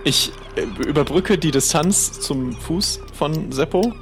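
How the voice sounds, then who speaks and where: A man's voice: on the high side, takes it slowly, vocal fry, faint music behind. A man role-playing casually in an improvised adventure game, tentatively thinking aloud as a player.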